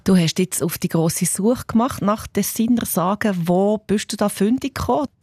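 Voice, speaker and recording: A woman's voice: medium pitch, normal speed, dark tone, dry recording. A woman radio interviewer with a Swiss German accent, asking conversational questions.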